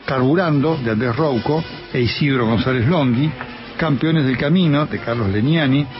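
A male journalist with an Argentine accent giving a measured, scripted documentary narration. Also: medium pitch. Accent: Argentine Spanish. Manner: measured, even reading. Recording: slightly dull sound with music underneath.

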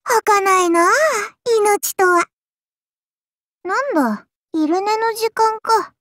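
A woman's voice: very high voice, extremely slow, fairly bright tone, dry recording, clean studio sound, full character voice. A woman voicing an imperious, playful childlike serpent-god oni girl in a game, with archaic, haughty, teasing drawl. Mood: sleepy, languid. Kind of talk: game character voice lines.

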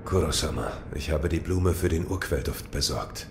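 An extremely low-voiced shinobi played by a man in an audio drama. He is terse, solemn and dutiful, with a clear rumbling noise behind him. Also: lively intonation, normal speed. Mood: solemn, loyal. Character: stoic, dutiful adult shinobi warrior, loyal servant. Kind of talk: German audio drama acting.

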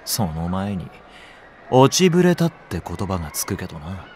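Cynical, lazy, lively-pitched nursery teacher. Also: male voice, moderate pace, slight background noise. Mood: wry. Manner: flippant, sardonic. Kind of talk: Japanese audio drama acting.

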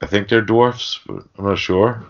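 A man's voice: lowish voice, fairly even intonation, moderate pace, creaky. A man hosting a casual podcast, recapping a film in a rambling, profane, joking way. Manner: rambling, crude joking delivery with mimicked lines. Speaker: podcast host. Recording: dull lo-fi podcast audio.

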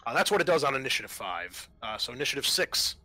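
A man's voice: mid-range voice, big pitch swings, quick pace, sharp tone. A man narrating a tabletop roleplaying game as game master, describing scenes in an informal, improvised, hesitant way.

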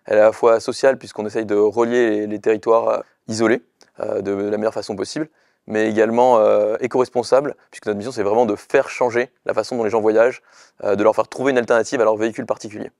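A young man speaks quickly in short, hesitant bursts, as a founder interviewed on camera. His voice stays fairly monotone with a gravelly fry. It is recorded close and dry on a lapel mic in a studio. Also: on the low side, no room sound. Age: young adult. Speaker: startup co-founder and chief executive. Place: indoor studio with dark backdrop.

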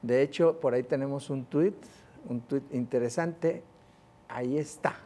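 A man's voice: medium pitch, measured pace, dark tone. A Mexican man commenting on the news in a panel, conversational, with frequent hesitant fillers.

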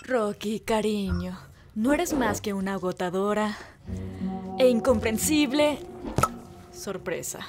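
A woman's voice: medium pitch, animated, slow, pauses often. A woman with a Latin American Spanish accent voicing a languid, wry, glamorous female cat in a cartoon fandub. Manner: languid, wry, condescendingly affectionate. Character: weary, glamorous adult female cat, elegant bar hostess. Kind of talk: animated cartoon fandub acting. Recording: music behind the voice.

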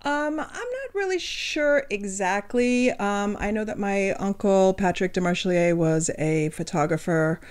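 A woman's voice: mid-range voice, animated, a little slow, few pauses, smooth. A middle-aged woman from France, a restaurant owner answering interview questions in a casual, hesitant way with many ums.

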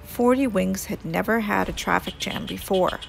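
A woman reading a children's story aloud as an expressive storyteller. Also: mid-range voice, normal speed, creaky.

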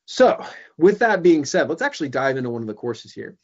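A young man presenting a software training webinar over a video call, explaining in a casual way with many ums and uhs, and a bit hoarse from losing his voice. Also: a little high, very animated, brisk, dull audio. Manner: casual, filler-heavy explaining. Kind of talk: screen-share software demo. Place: small room indoors. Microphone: video call webcam.

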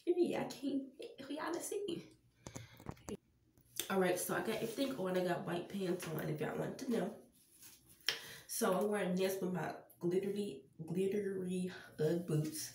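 A woman's voice: mid-range voice, lively intonation, measured pace, a little mellow, a little hiss or hum. A young woman vlogging casually to her viewers with a stuffy, congested nose, chatting as she gets ready. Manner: chatty, addresses viewers as y'all. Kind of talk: casual Halloween makeup vlog. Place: small tiled bathroom. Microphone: camera on a stand a few feet away. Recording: roomy bathroom echo, distant camera mic.

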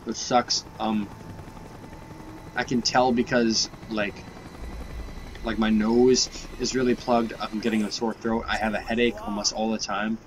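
A young man with a stuffy nose and raspy voice from a cold, rambling casually with lots of swearing while playing a game. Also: fairly low pitch, little pitch movement, a little slow, background noise. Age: young adult. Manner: rambling, swears often, many fillers. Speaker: gaming youtuber. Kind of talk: casual gameplay commentary.

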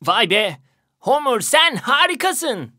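Animated, earnest cartoon toy helicopter. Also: female voice, a little high, slow pace, noisy background. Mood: amazed. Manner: explanatory, easily amazed. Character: earnest, wide-eyed toy helicopter, helpful know-it-all. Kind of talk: children's animated series voice acting.

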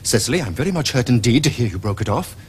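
An actor playing an ardent young Victorian gentleman suitor in a period comedy, with earnest, flowery, theatrical Received Pronunciation. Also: medium pitch, big pitch swings, quick pace, a little hiss or hum, close to an everyday voice. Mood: bewildered, hurt. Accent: English Received Pronunciation. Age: young adult. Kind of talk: period stage comedy acting for television.

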